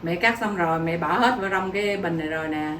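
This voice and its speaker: Brisk, motherly middle-aged woman. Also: on the low side, very noisy. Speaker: host's mother. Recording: echoey room, mic far off, music behind.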